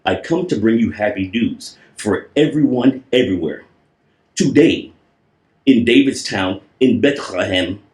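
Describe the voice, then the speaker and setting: A gentle, solemn angel from a storybook played by a man reading aloud speaks slowly in a roomy, echoing space. The delivery is measured and reassuring. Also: lowish voice. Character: bright shining angel messenger, gentle and solemn.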